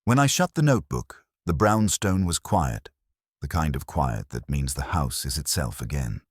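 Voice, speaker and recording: A man with an extremely low voice narrates a wry detective tale. His pitch moves around in a lively way while the delivery stays measured and literary. The sound is dry and close. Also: husky, no echo. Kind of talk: scripted audiobook narration, wry first-person detective story.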